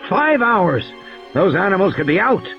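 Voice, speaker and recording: A man voicing a gruff, blunt game warden who gives terse, commanding orders in an audio drama. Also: high voice, big pitch swings, measured pace, muffled recording, soft background music, voice pushed up, exaggerated voice. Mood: alarmed, urgent.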